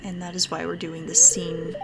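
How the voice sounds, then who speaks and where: Creaky-voiced woman doing casual game commentary. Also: fairly low pitch, monotone, moderate pace. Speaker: gaming video host. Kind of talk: casual let's play commentary. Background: music.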